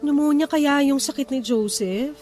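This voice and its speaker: Radio drama wife: woman playing a worried first-time mom, high-pitched and fretful, light music underneath. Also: moderate pace, a little mellow, natural voice. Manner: fretful, questioning. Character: anxious young wife and first-time mother.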